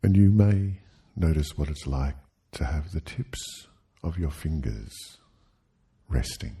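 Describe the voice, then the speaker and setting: Super deep dark soft guy doing sleep hypnosis, really slow w long pauses, gentle n soothing. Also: expressive, husky.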